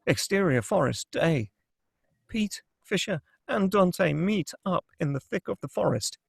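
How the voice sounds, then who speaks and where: Male narrator for screenplay table read. Slightly high pitch, husky tone, scripted action delivery. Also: lively intonation, unhurried, no echo.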